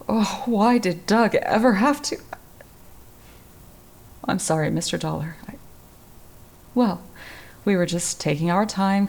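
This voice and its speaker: A woman playing a genteel, anguished widow with a calculating streak in an old-time radio detective drama, speaking in a halting, dramatic way. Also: lowish voice, a little mellow. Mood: wistful, hesitant.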